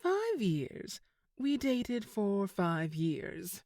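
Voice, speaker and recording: A woman playing an unflappable reformed criminal ex-girlfriend in an audio drama, measured and dryly sardonic. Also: mid-range voice, big pitch swings, a little mellow, no echo, studio quality, exaggerated voice. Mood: teasing.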